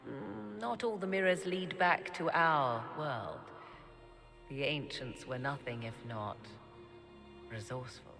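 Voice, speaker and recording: Theatrical, low creaky-voiced sorceress. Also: female voice, over music, exaggerated voice. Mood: wistful, cryptic. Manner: theatrical, deliberate, archaic phrasing. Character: cryptic, self-assured sorceress, adult woman with arcane knowledge. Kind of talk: dramatic fantasy video game dialogue.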